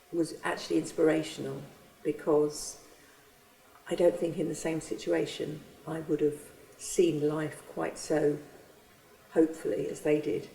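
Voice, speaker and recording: A woman earnestly recounting her experience of hosting, pausing and restarting as she goes. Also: low-pitched, gravelly, mellow. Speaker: church member and refugee host. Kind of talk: reflective testimony. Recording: roomy, from a distance.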